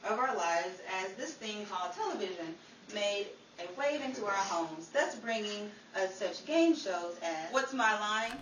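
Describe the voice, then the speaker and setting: A woman's voice: medium pitch, expressive, unhurried, a bit bright. A woman hosting with scripted, nostalgic old-time radio narration in a theatrical announcer manner. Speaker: narrator, host. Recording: roomy, distant live recording with audience, noise.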